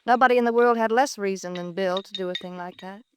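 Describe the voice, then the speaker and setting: An actress playing a guarded, grieving young widow in a 1950s radio drama, hesitant and defensive. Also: female voice, medium pitch, fast talker, a little hiss or hum, natural voice. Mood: apologetic, shaken.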